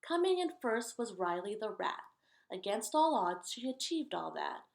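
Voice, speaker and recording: A woman with a medium-pitched voice reads a picture book aloud for children. She gives the storybook lines expressive emphasis, with a casual, conversational touch as host. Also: normal speed, gravelly, background noise.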